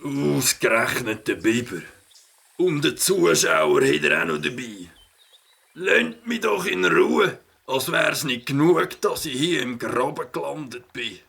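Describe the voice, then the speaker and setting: A man playing a grumpy, scornful rider in a children's audio play, raspy and gravelly, with a Swiss German accent. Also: fairly high pitch, animated, measured pace, pushed and bright, heavily acted. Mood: grumbling, defensive.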